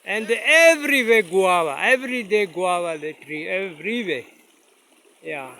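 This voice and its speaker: A man whose first language is Urdu, vlogging outdoors by a mountain stream in halting, simple English with many fillers, filmed on a phone without a separate mic. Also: sits high, expressive, pushed and bright. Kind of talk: casual vlog narration. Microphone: phone camera, no separate mic.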